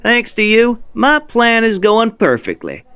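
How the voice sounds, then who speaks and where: A man performs a scheming male villain from a fan-dubbed comic. He takes his time, sliding up and down in pitch as he flatters, smooth and deceitful. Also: measured pace, no echo, exaggerated voice. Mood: sly, gloating. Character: sly, flattering male villain, shapeshifting master of deception. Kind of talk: fan-dub comic voice acting.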